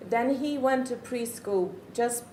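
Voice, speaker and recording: A woman's voice: fairly high pitch, slow, darkish tone. A middle-aged woman from the Czech Republic with a Czech accent, a Czech native speaker, giving an earnest, reflective personal testimony as a parent and searching for her words. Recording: echoey room with faint noise.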